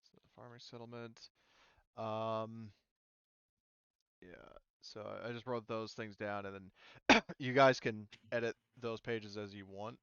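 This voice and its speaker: A man running a tabletop game as game master in casual speech, often halting and thinking aloud mid-sentence. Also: fairly low pitch, fairly even intonation, a bit bright, clean tone, no room sound, muffled recording.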